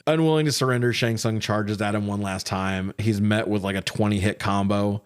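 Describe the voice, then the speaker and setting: A man hosting a casual film review podcast, recapping in an animated, profane way with frequent laughter. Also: on the low side, normal speed, clear, resonant tone. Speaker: podcast host reviewing films. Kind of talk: casual recap and banter between friends.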